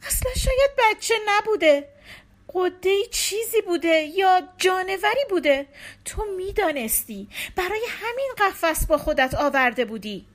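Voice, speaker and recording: A woman's voice: extremely high pitch, very animated, pitched above the performer's own voice. A woman voicing a distraught young wife grieving her stillborn baby, pleading and tearful. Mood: anguished, frantic. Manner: pleading, tearful, rising to cries.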